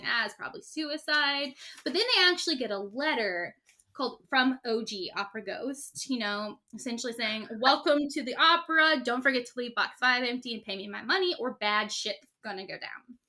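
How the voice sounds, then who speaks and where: A woman's voice: high voice, lively intonation, a little fast, pushed and bright. A woman co-hosting a casual podcast, retelling a story with lots of fillers and acted-out quotes. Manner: rambling, many fillers, acts out quotes. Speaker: podcast co-host.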